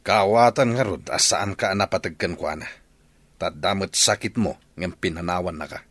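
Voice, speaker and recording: A man's voice: low-pitched, moderate pace, fairly bright tone, no room sound. A man with an Ilocano accent playing a resentful, possessive middle-aged father in a radio drama, grumbling and questioning. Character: resentful, possessive father who wants his daughter to stay.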